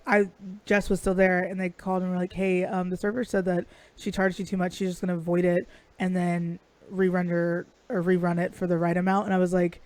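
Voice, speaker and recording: Raspy-voiced woman telling stories. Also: lowish voice, a little mellow. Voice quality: raspy today. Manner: profane, storytelling. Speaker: podcast co-host. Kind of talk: casual chat.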